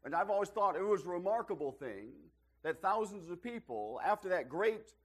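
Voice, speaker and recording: Slightly hoarse man preaching. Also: high-pitched, lively intonation, moderate pace. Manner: self-deprecating, joking, builds to emphatic rises. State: losing his voice. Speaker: visiting preacher. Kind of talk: sermon with folksy humor. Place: church auditorium.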